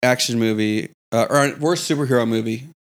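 A man's voice: medium pitch, fairly quick. American guy running a loose comedy podcast, rambly, jokes at his own expense. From United States. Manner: rambling, self-mocking. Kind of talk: casual comedy chat.

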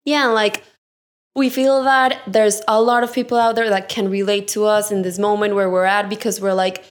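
A woman's voice: mid-range voice, little pitch movement, normal speed. A young woman from Colombia with a Colombian Spanish accent, co-hosting a casual podcast and talking eagerly, often with "like". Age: young adult. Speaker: podcast co-host, architecture intern.

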